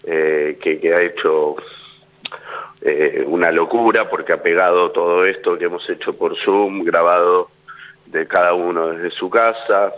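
An actor giving a relaxed radio interview in an Argentine accent. The man's voice sits fairly low. He often restarts and repeats words while he works out a thought. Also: measured pace, low-quality recording. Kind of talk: casual radio interview talk.

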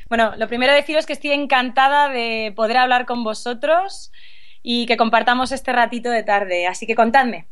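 Woman from spain, 30s, chatty guest, laughs a lot, voice a bit up high and a bit distant. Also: a little slow, pauses often. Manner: relaxed, laughs often, restarts sentences. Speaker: interview guest, actress. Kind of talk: casual interview answers.

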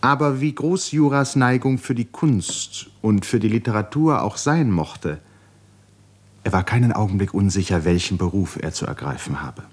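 Narrator, male: deep and husky, 1950s literary radio drama, deliberate, dramatic phrasing with hushed falls. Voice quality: breathy, husky.

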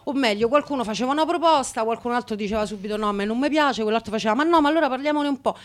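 A woman's voice: on the high side, rapid-fire. A woman co-hosting a live show in a casual, playful, chatty way, often repeating phrases. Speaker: radio co-host and theatre activist.

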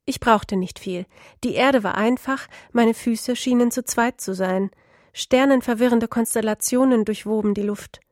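Higher-voiced woman reading literary prose, dry sound. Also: a little slow, dry recording. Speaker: author reading her own prose.

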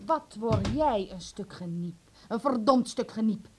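High-voiced, domineering mother. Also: lively intonation, measured pace, slight background noise. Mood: angry, commanding. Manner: indignant, reproachful. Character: bitter, domineering, scornful mother of a teenage son. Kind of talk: Dutch radio drama acting.

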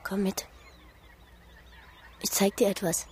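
A woman's voice: medium pitch, level tone, takes it slowly, a little mellow, in a whisper, lightly acted. An actress playing a playful, enigmatic village woman in a radio drama, with a dreamy, teasing manner. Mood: earnest, mysterious. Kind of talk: naturalistic radio drama acting.

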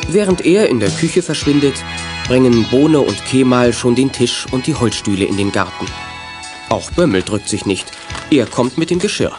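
A man's voice: medium pitch, lively intonation, normal speed. A man narrating a children's radio play as a scripted storyteller. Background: music.

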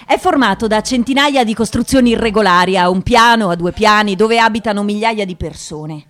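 An actress playing a thoughtful journalist who narrates a radio drama in a measured storytelling manner. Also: female voice, medium pitch, fairly bright tone, natural voice. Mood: sober, descriptive.

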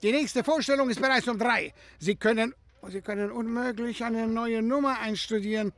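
A man's voice: very high-pitched, fairly even intonation, normal speed, fairly bright tone, no echo. A man playing a bombastic, haggling circus director in a radio play, with a theatrical, grandiose delivery. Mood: amused, bossy.

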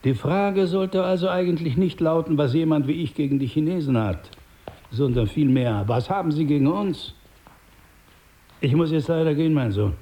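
Casting: man playing older paternal crime boss, radio drama. Mellow, measured, condescending, quietly menacing. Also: on the high side, lightly acted. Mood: quietly threatening. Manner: condescending, measured, smooth-talking.

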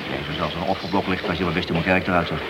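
An actor playing a hard-nosed, cynical police detective in a Dutch radio play, blunt, needling and sardonic in manner. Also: male voice, low-pitched, fairly even intonation, very fast, natural voice. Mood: menacing, sardonic. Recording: noisy, hissy old radio drama recording.

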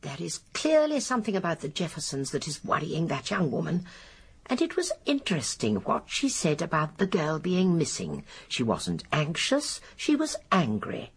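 An actress playing a shrewd, quietly probing elderly British lady sleuth in a radio drama, with a husky voice. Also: sits low, huge pitch swings, moderate pace, full character voice. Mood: musing, intent.

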